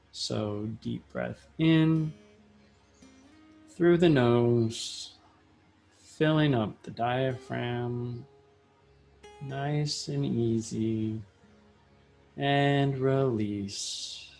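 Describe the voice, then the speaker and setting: Male meditation guide, very slow and soothing, gravelly fry in the voice, soft guitar music behind. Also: mid-range voice. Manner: measured, instructing in short phrases with long pauses. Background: soft plucked guitar music.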